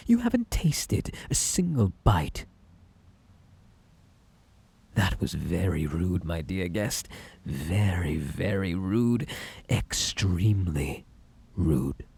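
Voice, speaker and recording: A man with a British accent playing a possessive, obsessive, sweetly menacing bunny man in an ASMR roleplay, coaxing and theatrical, with a breathy voice that slips into whispers. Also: sits low, warm tone, exaggerated voice. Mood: cold offense. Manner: theatrical, coaxing, drawn-out polite endearments.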